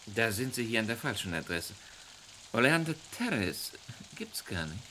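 An actor playing a chatty, helpful homeowner in a vintage radio crime play. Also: male voice, lowish voice, big pitch swings, moderate pace, sharp tone, slight background noise, rough recording. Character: helpful homeowner who grew up locally. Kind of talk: 1950s-80s radio crime drama.

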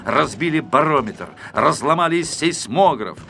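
A man narrating a children's story from a script in an expressive style. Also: on the high side, unhurried, gravelly, slight background noise. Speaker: audiobook narrator.

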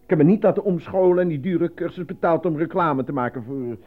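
An actor in a Dutch radio play voicing a proud, defensive, self-important out-of-work actor and husband. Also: male voice, a little high, brisk, natural voice. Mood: indignant pride. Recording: dull lo-fi radio recording, faint music.

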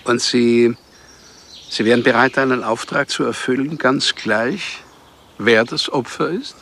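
A man's voice: mid-range voice, measured pace, a bit bright. An actor playing a polite, understated male city archivist in a German radio crime drama. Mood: probing, calm. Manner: courteous, understated. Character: polite, middle-aged male city archivist, discreetly offering murder jobs. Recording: clear ambient noise behind the voice.

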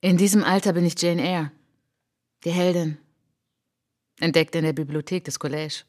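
An actress playing a reflective French woman traveller in a radio play monologue, speaking in a measured, intimate, literary way. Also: fairly low pitch, steady tone, darkish tone, close to an everyday voice. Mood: wistful.

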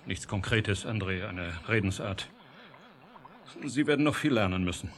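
An actor playing an authoritative, probing scientist in a German radio drama, giving orders and asking questions. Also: male voice, lowish voice, animated, normal speed, natural voice. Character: authoritative male scientist in charge, businesslike, probing, calculating.

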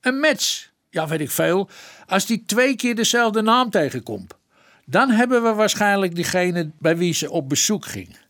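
A man's voice: high voice, animated, unhurried, fairly bright tone, no echo, studio quality, exaggerated voice. An actor playing an eager, deferential junior policeman in a radio drama, polite, informal and hesitant. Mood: eager, tentative.

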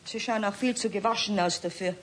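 A woman's voice: mid-range voice, a little fast, a bit bright, slight background noise, close to an everyday voice, low-quality recording. An actress playing a blunt, nosy cleaning woman with a Bavarian accent in a children's radio play. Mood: disapproving. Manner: blunt, exclamatory.

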